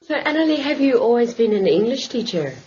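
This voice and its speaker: A woman's voice: a little high, very animated, fairly quick, a little mellow. Woman interviewer full of "wow"s. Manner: encouraging, many "wow" reactions. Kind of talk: casual interview. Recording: muffled lo-fi recording with faint hiss.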